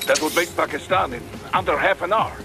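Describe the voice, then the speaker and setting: A man's voice: fairly high pitch, a little fast, creaky, over music. A man acting as a pragmatic, worried military adviser with a Russian accent in a video game. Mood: dismayed, emphatic.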